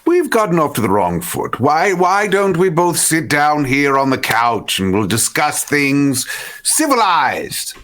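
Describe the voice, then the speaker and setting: A man plays a male vampire interrogator in a tabletop horror game. He is civil yet menacing, with dry wit and a fairly high, animated pitch. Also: unhurried. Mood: civil, commanding.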